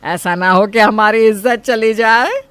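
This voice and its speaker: A woman's voice: a little high, measured pace, noisy background, lightly acted. A woman playing a greedy middle-aged mother-in-law in an audio story, falsely polite, wheedling and demanding. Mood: pushy, demanding. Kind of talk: acted Hindi audio story.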